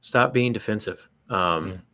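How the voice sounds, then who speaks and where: A man's voice: lowish voice, a little slow, pushed and bright, no room sound. A man co-hosting a podcast, speaking casually but emphatically and bluntly, acting out little exchanges as he gives advice. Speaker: podcast co-host and writer.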